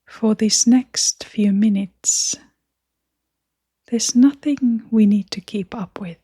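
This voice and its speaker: A woman leading a scripted guided meditation in a soft, breathy, near-whispering voice, gentle and soothing, with long pauses. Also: a little high, no echo, studio quality.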